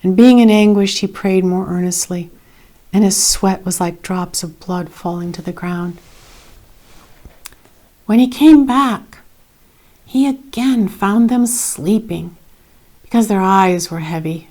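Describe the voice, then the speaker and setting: A woman reading a scripted Bible story in a measured, dramatic way with expressive emphasis. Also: mid-range voice. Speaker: reader of scripture.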